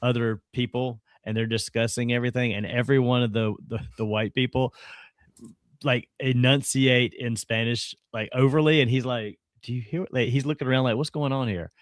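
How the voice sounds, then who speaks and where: A man's voice: medium pitch, a little fast, no echo, studio quality. A man with a South Carolina accent, co-hosting a casual sports chat and telling stories with emphatic energy. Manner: animated storyteller, emphatic. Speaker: co-host.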